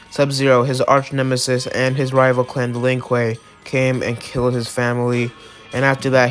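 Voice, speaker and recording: A man with a cold hosting his channel, recapping video-game character lore in a rambling way, full of likes and uhs. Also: medium pitch. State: has a cold. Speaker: channel host.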